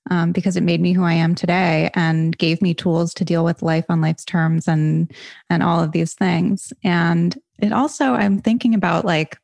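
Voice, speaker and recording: A woman with a low, gravelly voice hosting a podcast interview. She talks in a relaxed, conversational way and fills her sentences with "like" and "you know." The sound is dry and close. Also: normal speed, no room sound. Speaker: podcast host. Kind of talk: casual interview chat.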